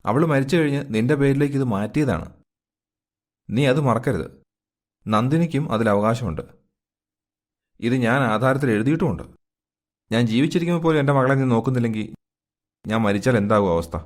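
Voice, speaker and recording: A man acts out a middle-aged family man in a moral tale. He speaks earnestly and insistently, like someone pleading for his family, in a medium-pitched male voice. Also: normal speed, long pauses, close to an everyday voice. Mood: firm, reproachful. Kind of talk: acted dialogue in a moral story.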